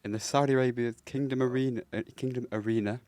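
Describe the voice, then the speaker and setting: A young man, the podcast producer, reading out a sports story haltingly with lots of "uh". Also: medium pitch, fairly even intonation, a little mellow, dry recording. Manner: halting, filler-heavy reading of news. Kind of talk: casual chat.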